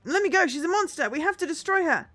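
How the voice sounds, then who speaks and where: A woman's voice: very high voice, lively intonation, fast, pushed and bright, faint music behind, voice pushed up, exaggerated voice. A woman voicing a panicky, aggressive male android mechanic while reading a visual novel aloud. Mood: panicked fury.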